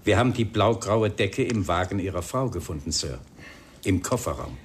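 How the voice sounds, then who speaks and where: Police inspector: low, widely inflected voice, formal and persistent, radio crime play. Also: male voice, animated, a little hiss or hum. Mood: firm, insistent. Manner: formal, hesitant, measured. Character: polite, persistent male police inspector breaking grim news. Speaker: actor in a radio crime drama.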